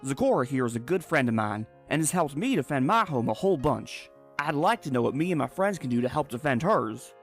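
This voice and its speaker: A man's voice: medium pitch, sing-song, fairly quick, hardly any pauses. A man voicing a plucky, polite young female pony with a folksy, earnest manner, in a fan comic dub. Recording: music under the voice.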